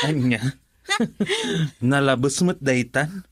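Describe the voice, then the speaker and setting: A man's voice: medium pitch, wildly swooping pitch, extremely slow, a crowd in the background, exaggerated voice. A man acting a middle-aged family friend, a tender and patient suitor in a radio drama, with a Filipino accent. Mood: amused.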